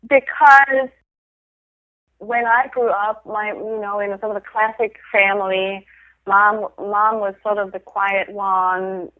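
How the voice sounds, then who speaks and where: A woman's voice: medium pitch, steady tone, slow pace, no echo. A middle-aged woman from Denmark, talking reflectively in a casual interview, laughing often and restarting her sentences. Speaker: interview guest, photographer of women. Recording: tinny phone line.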